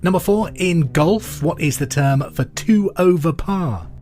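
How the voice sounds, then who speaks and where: A man hosting a pub quiz podcast, reading questions and answers in a clear, deliberate way with casual asides. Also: on the high side, expressive, a little mellow. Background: music under the voice.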